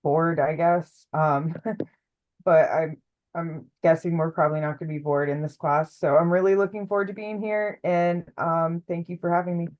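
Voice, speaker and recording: Woman student, lowish voice, casual, muffled lo-fi call audio. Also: steady tone, normal speed. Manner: casual, trailing off. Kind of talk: class self-introduction. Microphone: video call. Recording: dull lo-fi video call.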